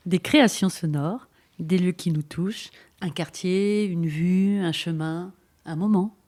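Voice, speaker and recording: A woman co-hosting a bicycle radio project, presenting it in a lively, list-like scripted delivery. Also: lowish voice, takes it slowly.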